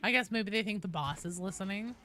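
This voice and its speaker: A woman's voice: mid-range voice, brisk, sharp tone, soft background music. A woman game streamer chatting casually and playfully with her live viewers in an informal way. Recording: clear background noise behind voice.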